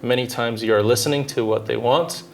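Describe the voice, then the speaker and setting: A man in his thirties from Southern California, a dentist answering interview questions in a measured, thoughtful way with frequent filler pauses. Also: medium pitch, steady tone, gravelly. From Southern California, United States. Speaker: dentist and orthodontist guest.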